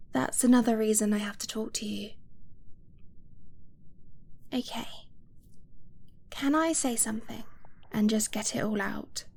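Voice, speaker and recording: A soft, fairly high-voiced dragon girlfriend, played by a woman in an intimate ASMR roleplay. She speaks very slowly and breathily, often near a whisper. Her delivery is hesitant, affectionate and earnest, as if shyly confessing to someone she loves. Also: creaky, heavily acted. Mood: nervous, confessing. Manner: hesitant, earnest, slow with halting pauses.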